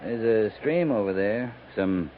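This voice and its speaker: A man's voice: fairly low pitch, expressive. An American man acting a laconic, dryly teasing, weary cavalry captain in a 1950s radio drama, speaking tersely and without hurry. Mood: relaxed, teasing. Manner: terse, dry, unhurried. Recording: muffled, noisy 1950s radio recording.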